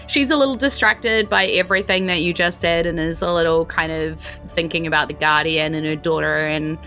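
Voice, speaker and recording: A woman's voice: low voice, fairly even intonation, moderate pace, sharp tone, soft background music. A woman with a New Zealand accent narrating a tabletop game as game master, casual and rambling.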